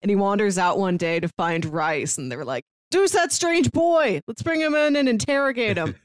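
A woman's voice: medium pitch, expressive, moderate pace. A woman co-hosting a casual book discussion in a wry, joking way. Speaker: podcast co-host.

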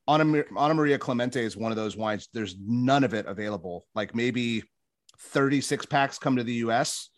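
A man's voice: mid-range voice, moderate pace, dry recording, studio quality. A man, a former cooking competition contestant, chatting casually with a joking, self-mocking manner. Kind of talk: casual chat.

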